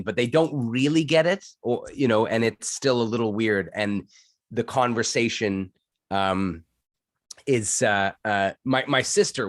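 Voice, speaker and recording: A man's voice: on the low side, a bit bright, dry recording, clean studio sound. A Canadian man speaking as an interview guest, telling stories in a casual, candid, conversational way and often interrupting himself. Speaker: interview guest, entrepreneur and former actor.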